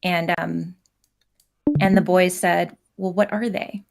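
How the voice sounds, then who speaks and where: A soft-spoken woman, a guest comic creator on a video call, telling a personal story in a hesitant, casual way with many fillers. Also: on the low side, flat delivery, takes it slowly, creaky, background noise. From United States.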